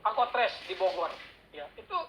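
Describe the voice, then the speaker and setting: A man giving a casual workshop talk as guest speaker, chatty and animated, telling anecdotes in a slangy way. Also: high voice, normal speed, slight background noise, low-quality recording. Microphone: online call mic.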